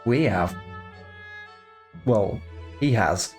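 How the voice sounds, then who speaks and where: A man's voice: on the low side, animated, very slow, soft background music. A man streaming a reaction to a fan-dubbed game movie, chatty and wry with laughing asides. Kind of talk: casual reaction commentary.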